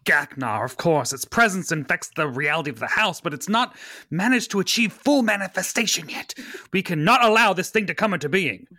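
A man's voice: high-pitched, expressive, a little fast, sharp tone, dry recording, clean studio sound, exaggerated voice. A man playing a fussy, bookish British mentor in a script table read, precise and dryly exasperated. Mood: urgent. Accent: put-on British.

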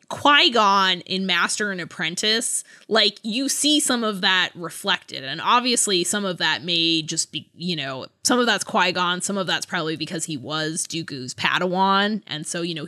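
Bright-voiced, chatty woman podcast host. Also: lowish voice. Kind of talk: casual book discussion.

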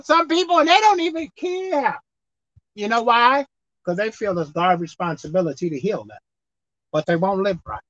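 A woman preacher delivering a sermon with animated, call-and-response delivery, acting out bits of dialogue. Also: high-pitched, no room sound. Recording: dull lo-fi dry sound.

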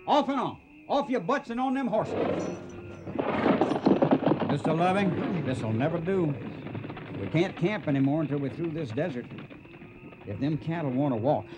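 Man as animated young cowboy trail boss. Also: fairly high pitch, normal speed, pauses often, noisy background, close to an everyday voice. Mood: urgent, commanding. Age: young adult. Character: young plain-spoken cowboy trail boss, respectful yet determined. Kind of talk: western radio drama acting.

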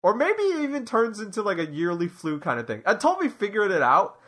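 A man's voice: high-pitched, huge pitch swings, fairly quick, fairly bright tone. A man in his late twenties co-hosting a podcast, talking casually and rambling with many restarts and fillers. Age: 20s. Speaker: podcast co-host. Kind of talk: casual chat.

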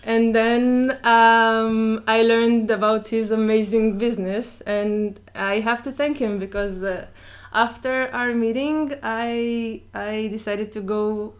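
A woman's voice: on the high side, fairly even intonation, slow pace, few pauses. Friendly woman interviewer. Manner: friendly, laughs easily, many fillers. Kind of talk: casual interview.

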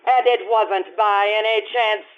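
Actress doing haughty rich bride-to-be, snippy and sarcastic, old time radio play, really tinny thin sound. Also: female voice, mid-range voice, steady tone, measured pace, natural voice. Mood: accusing. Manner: imperious, sarcastic, clipped. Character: haughty, sharp-tongued young society bride-to-be. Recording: tinny band-limited stage radio show recording.

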